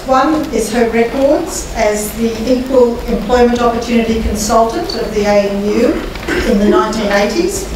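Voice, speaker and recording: An Australian woman politely introduces a guest speaker with prepared remarks. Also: on the high side. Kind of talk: formal introduction. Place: large lecture hall. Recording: echoey hall, mic far off, noisy.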